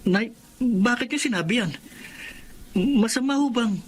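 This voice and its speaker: Earnest young Filipino son. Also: male voice, very high voice, measured pace, a little hiss or hum. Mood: puzzled, suspicious. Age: young adult. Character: earnest young adult son, eager and respectful. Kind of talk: Filipino radio drama acting.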